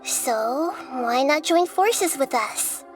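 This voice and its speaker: A young goddess of wisdom with a high, gentle voice. She explains calmly and thoughtfully, measuring every word. Soft music plays faintly underneath. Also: takes it slowly. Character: young-looking female god of wisdom, calm and gentle. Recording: game audio with faint music.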